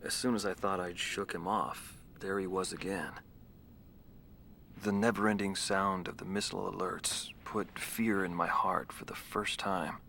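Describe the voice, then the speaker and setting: Deep-voiced haunted former pilot. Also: male voice, steady tone, measured pace, gravelly, pushed and bright, a little hiss or hum, heavily acted. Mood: haunted, wistful. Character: haunted, subdued male former wingman pilot. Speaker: interviewee. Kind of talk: dramatized documentary interview.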